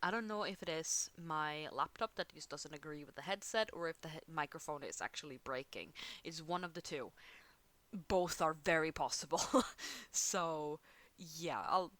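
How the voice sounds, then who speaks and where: A Swedish woman with a slightly low voice rambles through a casual drawing vlog, giggling and poking fun at herself. English is her second language, and she speaks close into a headset mic at home. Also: moderate pace, fairly bright tone. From Sweden. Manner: rambling, giggly, self-deprecating. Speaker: art channel host. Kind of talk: casual chat over drawing. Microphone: headset close to mouth.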